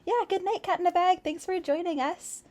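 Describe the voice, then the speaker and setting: A woman hosting a casual livestream read-aloud, chatting and reading in an expressive, effusive, giggly way. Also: extremely high pitch, fairly quick. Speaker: livestream host reading fan fiction aloud.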